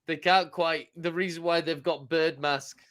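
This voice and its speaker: A man's voice: on the high side, steady tone, a little slow, fairly bright tone. A young man co-hosting a podcast, joking around and swearing freely. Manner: jokey, throws in silly voices and swearing. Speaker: podcast co-host. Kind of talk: casual chat reviewing a TV episode.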